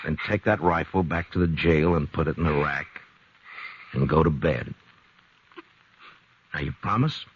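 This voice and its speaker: An actor playing a calm, fatherly frontier marshal in a vintage radio western, speaking in a measured, reassuring way. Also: a bass voice, husky, heavily acted. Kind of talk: 1950s radio drama acting. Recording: dull lo-fi vintage radio recording.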